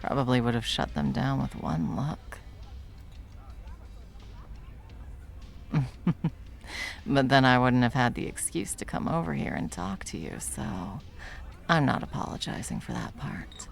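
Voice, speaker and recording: A woman playing a confident, flirtatious, protective bartender in an intimate ASMR roleplay, teasing and unhurried. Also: extremely low pitch, sing-song, vocal fry, heavily acted. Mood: confident, flirty. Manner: teasing, unhurried, soft chuckles, dropping toward whispers. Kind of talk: ASMR roleplay, intimate one-sided conversation.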